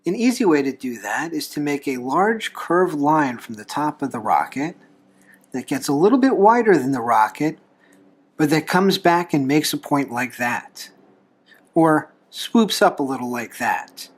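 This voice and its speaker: A man hosting a drawing tutorial, explaining each step in a folksy, unhurried way with pauses. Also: on the high side, big pitch swings, husky. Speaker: host of a drawing tutorial show, reverend. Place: talking from behind a camera while drawing.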